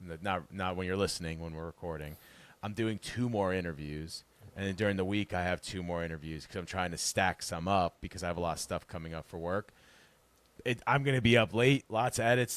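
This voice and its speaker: Podcast guest: man, deep bass voice, loose rambling talk, dry close sound. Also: no room sound. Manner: rambling, relaxed, laughs often. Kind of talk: casual interview chat.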